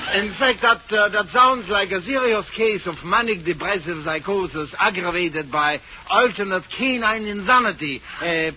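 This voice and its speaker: A zany, pompous psychiatrist with a voice that sits fairly high, from a dull, noisy old radio broadcast. He talks in a jokey, drawn-out, mock-serious manner, as in 1940s radio comedy. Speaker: comic psychiatrist.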